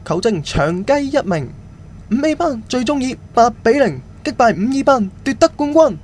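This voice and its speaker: A man playing an excitable campus radio football commentator with a Hong Kong Cantonese accent in an amateur radio drama. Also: extremely high pitch, normal speed. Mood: excited. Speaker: sports commentator. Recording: loud music and crowd noise behind.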